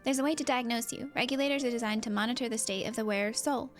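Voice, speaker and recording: A woman voicing an earnest, concerned female fighter from a video game, speaking in a polite, measured, serious way. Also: on the high side, soft background music, lightly acted.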